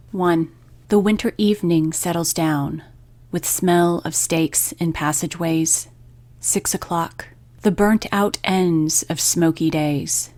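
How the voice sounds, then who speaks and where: A woman hosting a poetry radio program, reading from a script and reciting verse with measured, deliberate phrasing. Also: lowish voice, a little mellow, dry recording.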